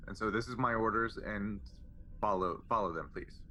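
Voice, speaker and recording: Male tabletop player, slightly low but bright, forceful voice, casual deliberate reasoning with pauses, faint noise. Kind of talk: casual in-game chat, reasoning aloud.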